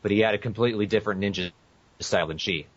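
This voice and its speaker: A man's voice: lowish voice, rapid-fire, no echo. A man co-hosting a casual podcast, chatting with the others and sometimes reading aloud. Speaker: podcast co-host. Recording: muffled lo-fi internet podcast audio.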